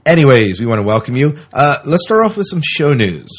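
A man's voice: mid-range voice, sing-song, brisk, breathy edge. A man hosting a podcast in a casual, talkative, explanatory way, chatting with a co-host. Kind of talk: casual co-hosted podcast chat. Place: small closed room.

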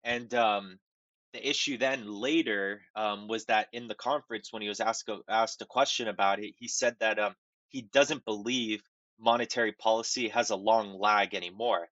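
A man's voice: on the low side, steady tone, moderate pace, a bit bright, no room sound, muffled recording. A man with a nasal, under-the-weather voice giving casual podcast commentary on the markets, hesitating with many ums and restarts. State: nasal from a cold. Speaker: podcast co-host.

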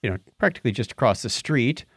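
A man's voice: medium pitch, lively intonation, fast talker, fairly bright tone, slightly rough, dry recording, studio quality. A man co-hosting a science news podcast, chatting casually and cracking jokes as he comments on stories. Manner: jokey, wisecracking.

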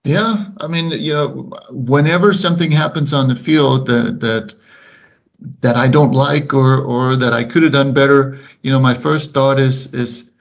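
A middle-aged man whose first language is Danish speaks English with a slight Kansas drawl, talking slowly and deliberately with many restarts in a casual interview. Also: mid-range voice, fairly even intonation, creaky. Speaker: guest, officiating coordinator. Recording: slightly muffled podcast audio.

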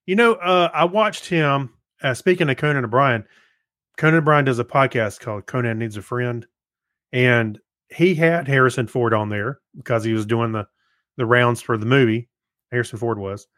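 A man's voice: mid-range voice, moderate pace, fairly bright tone, no echo. A man with a mid-range voice talks casually as a podcast co-host. He is conversational and jokey, and the sound is close and dry, with very little room in it. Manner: conversational, joking. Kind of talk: casual chat.

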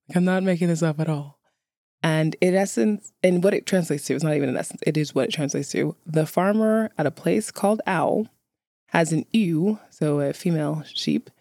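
Low-voiced woman, wry casual vlogger. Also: mellow, no room sound, studio quality. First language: English. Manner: wry, candid, self-deprecating. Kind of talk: casual chat to viewers.

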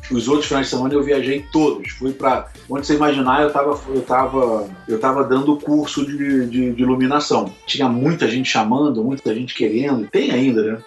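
A man from Rio de Janeiro with a Carioca accent, a lighting photographer chatting as an interview guest, telling animated stories full of fillers and repeated words. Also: mid-range voice, fairly quick, few pauses, roomy sound, soft background music. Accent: Carioca Portuguese. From Rio de Janeiro, Brazil. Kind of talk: casual interview chat.

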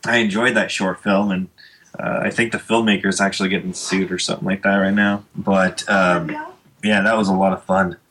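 Rambling thirty-something man, bright voice. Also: sits low, level tone, brisk, a little hiss or hum. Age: 30s. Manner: rambling, many fillers. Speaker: comic book creator guest. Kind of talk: casual podcast chat.

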